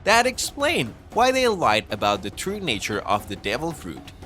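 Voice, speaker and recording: A man's voice: mid-range voice, huge pitch swings, moderate pace, bright, forceful tone. A man reading scripted narration for an explainer video, recorded as a voice-over. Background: background music.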